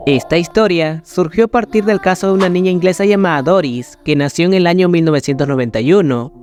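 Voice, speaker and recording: A man narrates scripted creepypasta tales as a storyteller. His voice sits a little higher than most men's. Music plays faintly behind him. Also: darkish tone. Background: background music.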